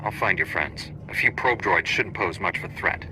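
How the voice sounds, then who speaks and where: An actor playing a steady, confident heroic Jedi warrior in a video game, assured and direct. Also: male voice, deep voice, normal speed, over music, natural voice, low-quality recording.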